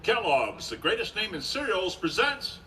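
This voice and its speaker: A man's voice: high voice, very animated, moderate pace, sharp tone. A man narrating as a 1950s-style radio announcer on a live stage, with an emphatic showman's delivery. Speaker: announcer in a radio show re-enactment. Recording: distant mic, faint noise.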